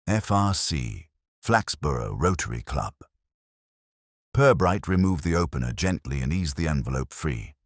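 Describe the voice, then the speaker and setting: A man's voice: sits low, no echo, studio quality. A man narrating a scripted mystery story.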